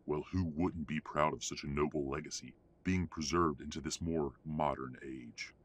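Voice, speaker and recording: A man acts out a domineering old-money werewolf father. His voice is extremely low and husky. He speaks formally and with measured condescension, as in an audio roleplay. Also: fairly even intonation. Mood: cold, smug. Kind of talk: audio roleplay drama acting.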